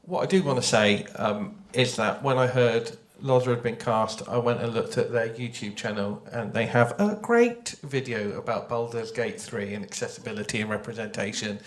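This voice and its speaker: A man's voice: mid-range voice, moderate pace, few pauses. A man talking casually on a panel in a chatty, playful way, addressing the audience directly. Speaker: panelist, audio drama creator. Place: live event panel in a room. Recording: roomy echoing live panel sound.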